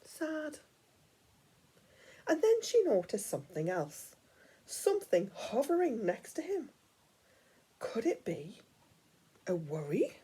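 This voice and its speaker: A woman reading a children's picture book aloud like a teacher, with dramatic storytelling that dips into hushed, breathy tones. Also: fairly high pitch, wildly swooping pitch, slow pace, long pauses.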